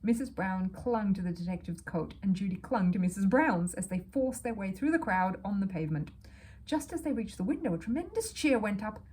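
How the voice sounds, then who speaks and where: A woman reading a children's story aloud as an expressive narrator. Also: medium pitch, brisk, a little hiss or hum, rough recording.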